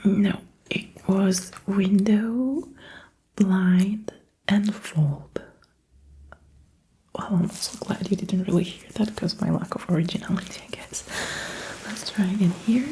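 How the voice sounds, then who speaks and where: A woman whispering an ASMR medical roleplay as a doctor, gently and attentively giving instructions. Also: medium pitch, takes it slowly, pauses often, low-quality recording.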